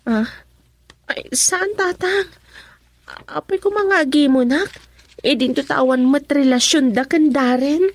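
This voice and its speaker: A woman's voice: sits high, unhurried, long pauses, no room sound. A woman with an Ilocano Filipino accent playing an inquisitive, girlish young daughter in a radio drama. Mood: uneasy, defensive.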